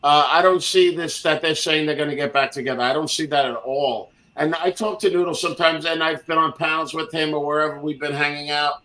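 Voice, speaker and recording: A man's voice: on the high side, steady tone, brisk, hardly any pauses, bright, forceful tone, poor audio. A man with a nasal voice hosting a heated panel argument, defensive and pleading. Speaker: host.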